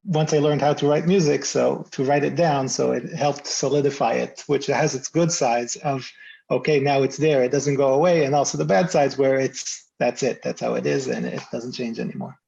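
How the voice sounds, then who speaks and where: A composer from the United States speaking reflectively on a video call, searching for words. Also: male voice, mid-range voice, fairly even intonation, moderate pace, creaky, darkish tone. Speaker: composer guest. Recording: dull lo-fi call audio.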